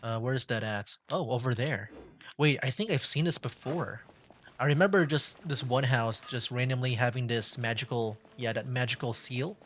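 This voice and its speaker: A man's voice: mid-range voice, moderate pace, fairly bright tone, a little hiss or hum. A man giving casual, rambling commentary as he streams a video game, talking conversationally.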